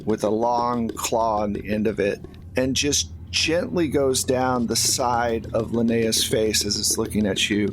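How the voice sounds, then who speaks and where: A man's voice: medium pitch, a little slow. A man running a tabletop roleplaying game as game master, narrating scenes descriptively and conversationally. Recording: faint background ambient noise.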